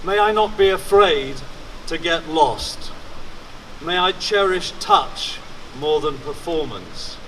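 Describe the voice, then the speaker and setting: Man slowly reading a solemn poem outdoors. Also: fairly high pitch, fairly bright tone. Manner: deliberate, measured, with pauses between phrases. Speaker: poem reader. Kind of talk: solemn poetry reading to a gathering. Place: outdoors at a public gathering. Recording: distant outdoor camera, faint traffic noise.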